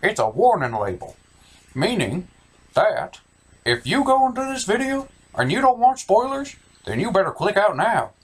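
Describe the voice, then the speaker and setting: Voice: medium pitch, big pitch swings, normal speed, slightly rough. A theatrical, exaggerated host narrating a scripted comedic movie recap.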